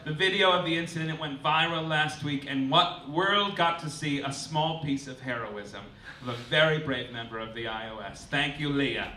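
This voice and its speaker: High-voiced campy male comedian, roomy live stage. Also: normal speed, pushed and bright. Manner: arch, campy, theatrical delivery with incredulous rants. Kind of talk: satirical stage monologue read as mock official announcements. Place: live stage in a club before an audience. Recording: roomy, distant live recording with noise.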